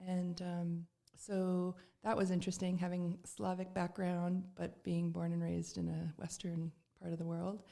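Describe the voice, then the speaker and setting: Middle-aged woman guest, low, dark mellow voice, rambling storyteller. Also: monotone, a little slow, creaky, few pauses. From Canada. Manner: chatty storyteller with many fillers and restarts. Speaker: podcast guest. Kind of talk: casual chat.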